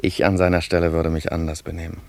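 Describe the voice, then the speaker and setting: Florid young disciple, very low voice, pompous, touchy, theatrical radio play. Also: male voice, flat delivery, fast talker, vocal fry, mellow, dry recording. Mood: scornful musing. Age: young adult. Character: pompous, earnest young admirer, easily affronted.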